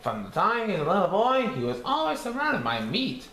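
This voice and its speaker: Cheerful cartoon sponge reading a storybook, high, wildly swooping sing-song delivery with stumbles, in an echoey room. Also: male voice, huge pitch swings, fairly quick, a bit bright, full character voice. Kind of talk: storybook read-aloud. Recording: echoey room with faint background noise.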